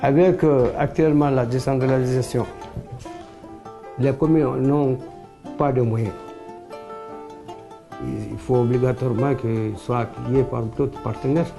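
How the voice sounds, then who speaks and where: A man's voice: medium pitch, steady tone, unhurried, creaky, pauses often, music in the background. A man giving an interview answer for a documentary, repeating himself for emphasis.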